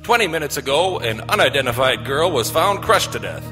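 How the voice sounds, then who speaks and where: An actor playing a grave news announcer reading a bulletin in 1940s radio style. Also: male voice, mid-range voice, very animated, brisk, natural voice. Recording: live stage hall reverb, loud music.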